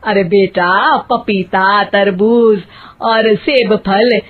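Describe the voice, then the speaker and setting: A woman's voice: mid-range voice, steady tone, drawn-out words, breathy edge. A woman voicing an exasperated, nagging Indian mother-in-law in a family cartoon, with dramatic complaints. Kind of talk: Hindi moral-story cartoon dialogue. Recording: muffled, narrow phone-like sound.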